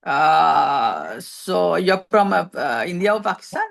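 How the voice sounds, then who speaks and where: A woman's voice: fairly low pitch, animated, slow pace, creaky. A woman running a meeting's impromptu speaking segment, hesitant with many fillers and restarts. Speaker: table topics master.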